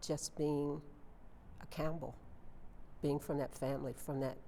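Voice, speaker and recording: Slow, low-voiced woman in a hall. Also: little pitch movement, mellow. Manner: deliberate, halting phrasing. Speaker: interviewee. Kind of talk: casual panel conversation. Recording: echoey hall with faint noise.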